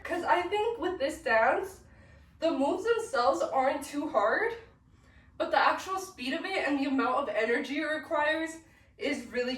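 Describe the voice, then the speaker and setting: High-voiced sick young woman teaching dance. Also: a bit bright. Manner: chatty, counts beats aloud. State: sick, sniffly. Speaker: dance tutorial instructor. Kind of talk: casual step-by-step dance instruction. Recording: echoey room, mic at a distance.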